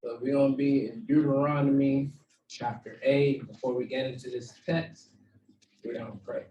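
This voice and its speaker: A man teaching a casual Bible study to a small group, with a low voice and plenty of fillers. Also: steady tone, moderate pace, muffled recording. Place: room with a small group, also streamed online. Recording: echoey room, far from the mic.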